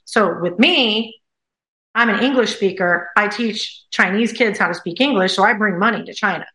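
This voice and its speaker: Bright-voiced woman telling a story in an echoey room. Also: mid-range voice, moderate pace. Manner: animated, repeats phrases for emphasis. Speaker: guest. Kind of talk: casual storytelling.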